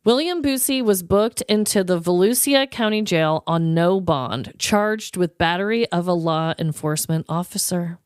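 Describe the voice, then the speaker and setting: A woman's voice: fairly low pitch, moderate pace, few pauses, clean tone, no echo, studio quality. A woman co-hosting a comedy podcast, chatting casually in a playful, slangy, giggly manner. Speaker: podcast co-host.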